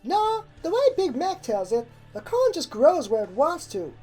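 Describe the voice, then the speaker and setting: Woman voicing a high-pitched, stubborn folksy young filly. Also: sing-song, normal speed, warm tone, faint music behind. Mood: explaining. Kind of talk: character voice in fan fiction reading.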